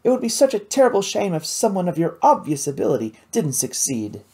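Reader doing an eager rich young lady, earnest, pitched way up, lively swoops. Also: a little fast, gravelly, husky, full character voice. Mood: indignant. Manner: earnest, indignant at unfairness. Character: wealthy, eager, well-meaning young woman.

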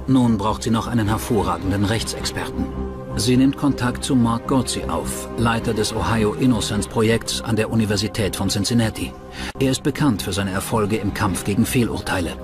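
A man's voice: on the low side, moderate pace, close to an everyday voice. A man reading scripted true-crime documentary narration as the narrator. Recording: music bed under the voice. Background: background music.

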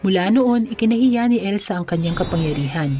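A woman's voice: medium pitch, expressive, a little fast. A woman reading scripted fairy tale narration for children. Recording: music under the voice. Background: background music.

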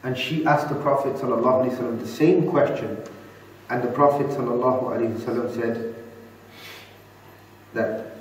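Calm male lecturer in an echoey room. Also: mid-range voice. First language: Urdu. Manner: calm, measured, explanatory. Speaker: Islamic teacher. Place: small indoor studio, seated at desk. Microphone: lapel. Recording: echoey room, distant mic, faint hiss.

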